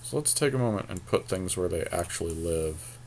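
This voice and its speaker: Low-voiced man thinking aloud. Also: lively intonation, a little fast, a little mellow, a little hiss or hum. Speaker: podcast co-host. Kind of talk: casual commentary on a card game draft.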